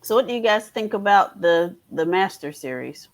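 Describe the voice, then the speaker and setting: A woman's voice: medium pitch, lively intonation, moderate pace. A woman taking part in a panel discussion, talking in a casual, conversational way. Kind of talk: casual chat.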